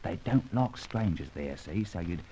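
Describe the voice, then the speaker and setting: An actor playing a chummy, wary small-time crook, slangy and confiding, in old-time radio detective style. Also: male voice, deep voice, animated, normal speed, warm tone. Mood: chummy, furtive. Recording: muffled, hissy old radio recording.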